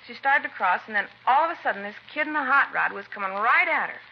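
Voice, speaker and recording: A woman's voice: a little high, animated, quick pace. A woman playing a brusque, casual American working woman questioned in a 1950s police radio drama. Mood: insistent. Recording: dull, hissy 1950s radio recording.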